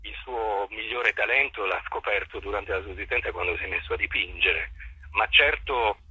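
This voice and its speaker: Male interviewee, theatre director type: low register, very sharp bright edge, wordy and formal, searching for the right word, dry radio-interview sound. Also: slightly rough, dry recording, lo-fi sound. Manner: articulate, deliberate, formal and wordy, searching for words. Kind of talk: reflective interview answers.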